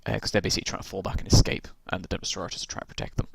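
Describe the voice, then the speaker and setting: A man casually walking through tabletop game rules, rambling with lots of ums and restarts, his voice gone croaky from talking so long. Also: low voice, little pitch movement, racing, heavy background noise.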